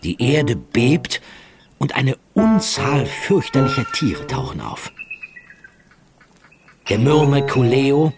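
An actor playing a grave narrator of a visionary radio play, speaking in an intense, incantatory way. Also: male voice, mid-range voice. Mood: forceful, ominous. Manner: intense, incantatory, swinging from hushed to forceful. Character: grave male narrator of a visionary, nightmarish tale.

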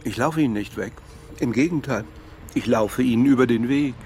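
An actor playing a reflective, philosophical man in a radio crime drama, arguing in a pensive, articulate and measured way. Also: medium pitch, a little mellow, a little hiss or hum, close to an everyday voice. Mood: wry, resigned. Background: faint background music.

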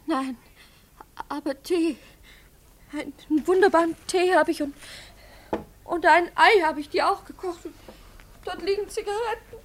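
An actress playing a guileless, obliging wife in a German radio play, speaking in an eager, placating manner. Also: female voice, very high-pitched, measured pace, long pauses, a little hiss or hum. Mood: caring.

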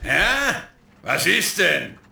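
Scheming hacker boss for radio play: high, very gravelly, smug and commanding, slow theatrical delivery. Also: male voice, sing-song, full character voice. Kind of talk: children's radio drama acting. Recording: echoey, with faint noise.

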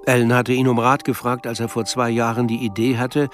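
A man's voice: mid-range voice, flat delivery, brisk, faint music behind, close to an everyday voice. A man narrating a melancholy literary story in an audio drama. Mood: measured narration. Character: male narrator of a melancholy novel.

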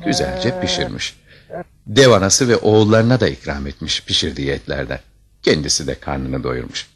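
A man narrating a fairy tale as a storyteller, with dramatic scripted delivery and a deep, husky voice.

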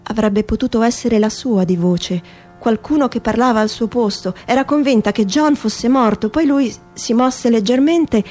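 A woman reads literary prose as radio theatre, in a measured, phrase-by-phrase delivery. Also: fairly high pitch, darkish tone. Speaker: stage narrator, reader.

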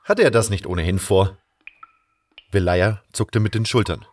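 A man's voice: lowish voice, normal speed, darkish tone, natural voice. A man playing an earnest, brooding adventurer who tells his own story in a fan audio drama. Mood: wry, curious. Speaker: narrator and lead actor in a fan audio drama. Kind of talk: first-person dramatic narration and dialogue.

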